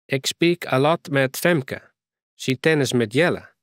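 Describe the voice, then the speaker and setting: A man's voice: medium pitch, takes it slowly, a bit bright, no room sound, studio quality, pitched above the performer's own voice. A man voicing a friendly young Ukrainian woman in a storybook reading, speaking with a Ukrainian accent and searching hesitantly for words. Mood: eager, halting.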